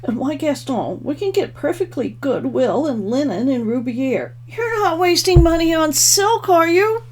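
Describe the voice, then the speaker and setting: A woman's voice: sits high, big pitch swings, a little slow, darkish tone, voice pushed up. A woman voicing a feisty, argumentative young woman in a storybook reading, blunt and indignant. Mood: outraged, incredulous. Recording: clearly noisy home recording.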